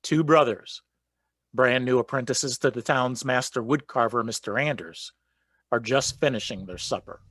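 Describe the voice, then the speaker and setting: A man plays a solemn storyteller in a radio play. He narrates an old legend formally in a medium-pitched voice, recorded very dry and close. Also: normal speed, a bit bright, no echo, lightly acted. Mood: solemn, measured.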